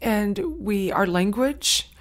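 Earnest woman, native Gullah speaker. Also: mid-range voice. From Saint Helena Island, South Carolina. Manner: earnest, heartfelt, with frequent pauses and restarts. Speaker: guest. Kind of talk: conversational interview storytelling.

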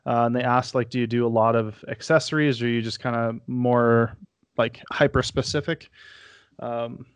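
A man's voice: mid-range voice, fairly even intonation, normal speed, clear, resonant tone, dry recording. A man hosting a podcast in casual chat, with an informal, joking way of talking. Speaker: podcast host.

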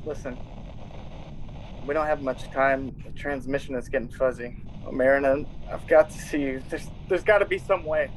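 A man's voice: mid-range voice, moderate pace, slight background noise, close to an everyday voice, poor audio. A man playing an anguished space commander in love, speaking with urgent, earnest passion in a radio play. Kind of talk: classic radio drama acting.

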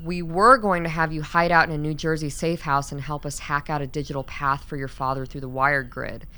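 An actress playing a stern, zealous woman scientist in a radio play, speaking in a deliberate, formal, earnest way. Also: low voice, fairly even intonation, sharp tone, clean tone. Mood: resolute, commanding. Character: stern, zealous woman doctor, resistance scientist with military authority.

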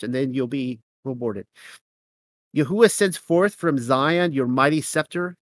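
A man with a fairly high voice reads old scripture verses steadily and then drifts into loose, casual commentary full of fillers. He has a cold, so his voice comes out a little nasal. The recording is close and dry, with no room sound. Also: fairly bright tone, dry recording. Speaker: host of a scripture reading show.

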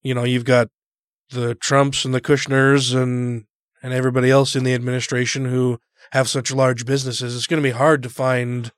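This is a man co-hosting a casual podcast, hoarse and congested with his voice nearly gone. He sounds measured and agreeable and laughs easily. The recording is dry and close. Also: medium pitch, flat delivery, dry recording. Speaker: podcast co-host. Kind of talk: casual political chat.

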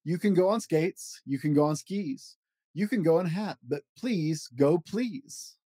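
Mellow-voiced man reading a storybook aloud. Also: fairly high pitch, unhurried, breathy edge, no room sound, studio quality. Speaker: podcast host reading children's books. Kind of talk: expressive storybook read-aloud.

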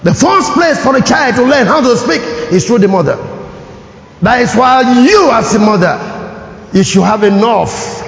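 Nigerian man preaching a sermon, high-pitched voice, animated and calling out to the congregation, dull lo-fi recording. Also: normal speed. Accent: Nigerian English. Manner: animated preaching, calls for audience response. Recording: echoey hall, dull lo-fi sound.

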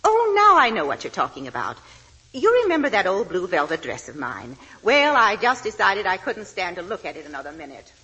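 A woman's voice: medium pitch, huge pitch swings, brisk, pushed and bright, low-quality recording. An actress playing a stern, secretive older mother-in-law in 1940s radio drama, clipped and commanding. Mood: evasive.

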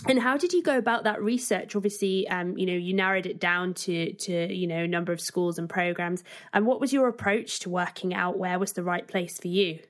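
A woman's voice: lowish voice, fairly quick, hardly any pauses. A woman podcast host putting friendly, prepared interview questions to a guest.

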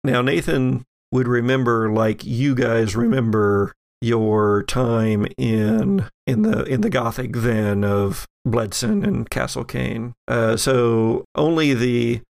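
A man running a tabletop game as game master, narrating in a halting, deliberate way. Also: mid-range voice, vocal fry, a little mellow.

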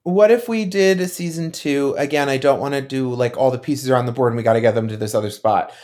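A man talking as a panelist on a casual podcast. His pitch sits in an average range for a man, and he is animated and jokey, swearing freely as he gives his opinions. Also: fast talker. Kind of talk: casual chat.

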